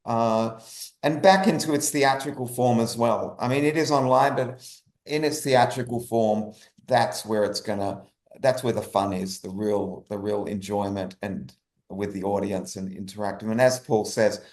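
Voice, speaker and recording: A man speaking as an interview guest, enthusiastic and halting, often repeating phrases as he goes. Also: lowish voice, steady tone, darkish tone. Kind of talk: conversational interview talk. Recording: roomy echo.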